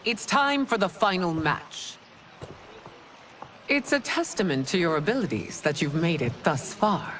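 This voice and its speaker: A stern, regal empress with sweeping delivery. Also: female voice, on the low side, lively intonation, fairly bright tone, slight background noise. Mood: ceremonial. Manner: formal, commanding, measured. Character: stern, regal middle-aged empress and mother. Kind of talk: dramatic video game cutscene acting.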